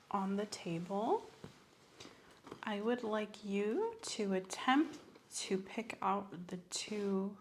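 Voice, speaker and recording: A woman speaks softly with a creaky, fry-edged voice at a medium pitch. She plays a doctor in an ASMR exam, gently instructing and encouraging. There is clear noise behind her voice. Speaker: host playing a medical examiner. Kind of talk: ASMR medical exam roleplay.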